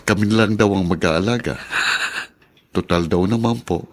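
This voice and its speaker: A man's voice: sits low, normal speed. A man playing an earnest, deferential working-class father in a drama, with a Filipino accent. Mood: earnest, respectful. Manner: deferential, earnest, formal politeness. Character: respectful working-class father pleading for a runaway boy. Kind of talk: Filipino radio-style drama acting.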